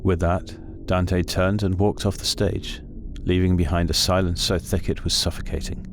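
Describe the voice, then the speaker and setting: A man narrating a scripted horror story in a measured, suspenseful, dramatic way. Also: deep voice, level tone, slight background noise. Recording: loud music under the voice. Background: music.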